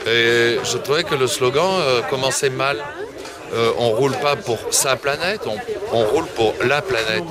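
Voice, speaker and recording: A man's voice: medium pitch, normal speed. A man answering street interview questions, often searching for words and repeating himself. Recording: loud crowd noise behind.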